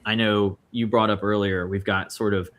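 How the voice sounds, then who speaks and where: A man's voice: fairly low pitch, little pitch movement, moderate pace, a bit bright, a little hiss or hum. A man in his twenties chatting casually as a participant on a streamed panel. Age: 20s. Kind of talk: casual chat.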